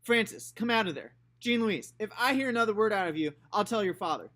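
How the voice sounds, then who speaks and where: A man putting on a high loud old grandmother, stern, threatening, storybook reading. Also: expressive, fairly quick. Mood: scolding.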